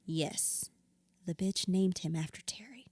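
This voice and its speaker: A woman's voice: lowish voice, measured pace, dark tone, no room sound. An actress playing a hurt, bitter widow confiding in her therapist in an audio drama, raw, blunt and sweary. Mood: guarded, bitter. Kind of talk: audio drama acting, confessional dialogue.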